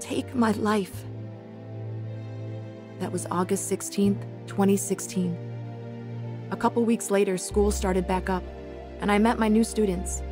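A woman's voice: medium pitch, steady tone, unhurried, long pauses, natural voice. An actress playing an earnest young woman teacher who narrates her own faith story in a radio drama. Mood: heartfelt. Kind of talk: dramatized first-person testimony narration. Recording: new-age music behind the voice.

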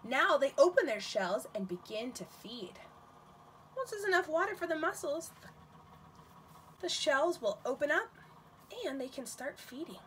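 High, expressive woman reading to children. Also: a little slow, pauses often, slight background noise, low-quality recording. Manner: expressive, questioning, talks directly to children. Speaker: nursery school teacher reading aloud. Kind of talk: picture-book read-aloud to young children.

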